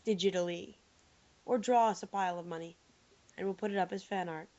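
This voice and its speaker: A woman co-hosting a podcast with a hoarse voice from laryngitis, joking and bantering in casual chat. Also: fairly low pitch, fairly even intonation, a little fast, warm tone. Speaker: podcast co-host. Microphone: remote call microphone. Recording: dull lo-fi online call audio.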